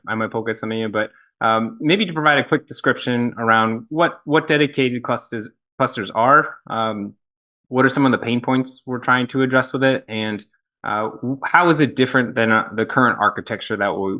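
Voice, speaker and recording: A man hosting a product team panel on a video call, talking quickly with loose restarts. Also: mid-range voice, bright, forceful tone. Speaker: host, head of product.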